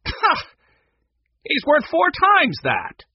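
Man doing a harsh, snarling storybook villain, husky. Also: fairly high pitch, expressive, normal speed, no room sound, dull audio, voice pushed up. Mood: outraged. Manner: harsh, snappish, sneering. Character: hostile, snarling tall thin villainous man.

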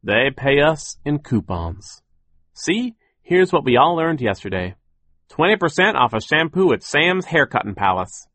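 Man playing an upbeat, higher-pitched mill worker. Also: sing-song, a little slow, a bit bright, heavily acted. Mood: gently resigned. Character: sunny optimistic adult male mill worker.

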